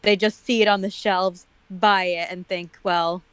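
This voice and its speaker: A woman's voice: mid-range voice, steady tone, measured pace, a little hiss or hum. A woman chatting casually as a commentator, explaining her points in a matter-of-fact way. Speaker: guest commentator on a comedy reading show. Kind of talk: casual chat.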